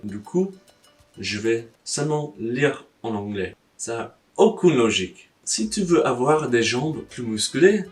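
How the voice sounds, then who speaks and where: A man's voice: mid-range voice, recorded from a distance. Wry young man with a foreign accent. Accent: foreign, non-native French. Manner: blunt, wry and joking, with casual swearing. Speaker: language-learning vlogger. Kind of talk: casual advice monologue to camera. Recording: voice a little off-mic, faint guitar music.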